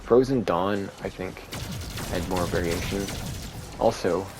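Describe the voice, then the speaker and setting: A man giving rambling, monotone commentary while playing a video game, with many fillers. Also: low voice, slow pace. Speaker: gaming video creator. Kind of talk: casual gameplay commentary. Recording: steady background noise.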